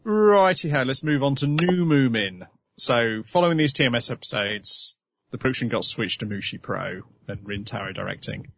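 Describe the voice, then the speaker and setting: A man's voice: mid-range voice, animated, moderate pace, vocal fry, a bit bright, dry recording. A man hosting a casual podcast chat, steering the topics and laughing often. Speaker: podcast host. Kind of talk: casual chat about animation.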